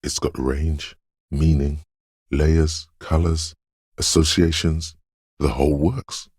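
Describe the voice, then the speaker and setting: An actor voicing a detective's eerie, dreamy inner voice of intuition in a video game, speaking in an ominous, cryptic, theatrical way. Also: male voice, extremely low pitch, darkish tone, breathy edge, no echo, clean studio sound, full character voice. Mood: musing, cryptic. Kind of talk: scripted video game voice-over, second-person monologue.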